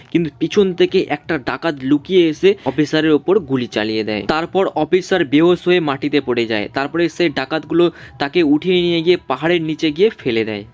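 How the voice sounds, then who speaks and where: A man's voice: fairly high pitch, quick pace. A man narrating a scripted story for a cartoon.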